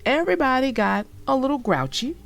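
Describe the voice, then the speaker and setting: A woman's voice: high-pitched, big pitch swings, fairly quick, gravelly. A woman narrating a children's storybook as a read-aloud for young listeners.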